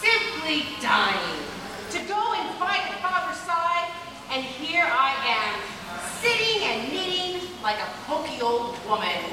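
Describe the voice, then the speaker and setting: A woman's voice: sits high, big pitch swings, slow, full character voice. A woman playing a bold, ambitious tomboy teenage sister in an old-time radio drama, theatrical and blunt. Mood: dramatic self-pity. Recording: very echoey hall, distant mic, noisy.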